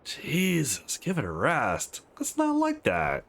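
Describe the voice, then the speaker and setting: A man's voice: fairly high pitch, huge pitch swings, takes it slowly, vocal fry, a bit bright, voice pushed up, heavily acted. A man voicing the wry, bantering male hero of a visual novel in a joking, sarcastic manner. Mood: exasperated.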